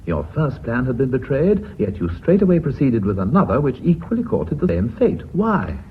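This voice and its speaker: A man's voice: medium pitch, wildly swooping pitch, moderate pace. An actor playing a shrewd, commanding British knighted businessman in a radio play, wry and probing. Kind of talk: British radio drama acting. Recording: tinny, noisy old radio recording.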